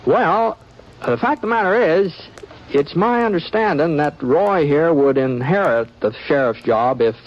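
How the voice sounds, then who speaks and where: An actor playing an easygoing, sly drifting cowboy sheriff in a 1950s radio western, with a halting, folksy drawl. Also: male voice, a little high, animated. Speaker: lead actor and narrator. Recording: dull old radio broadcast with music.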